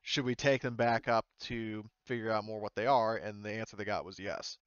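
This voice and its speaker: A man's voice: lowish voice, fairly even intonation, moderate pace, clean tone, no echo, lo-fi sound. A man running a tabletop game as game master, narrating off the cuff in an informal, explanatory way and swearing casually.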